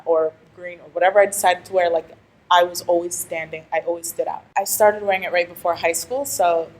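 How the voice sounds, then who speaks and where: A woman's voice: fairly low pitch, low-quality recording. A young woman from Algeria, a student, giving hesitant, earnest interview answers with many restarts. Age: young adult.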